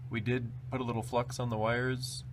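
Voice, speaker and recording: A man's voice: medium pitch, flat delivery, normal speed. A man hosting a DIY tutorial, giving a casual, plain-spoken hands-on walkthrough in an easygoing way. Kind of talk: casual hands-on how-to narration. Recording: clearly noisy home video sound.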